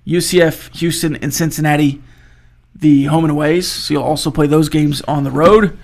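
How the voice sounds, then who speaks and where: A man co-hosting a casual sports talk show, rattling off schedules with animated banter. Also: on the high side, normal speed, creaky.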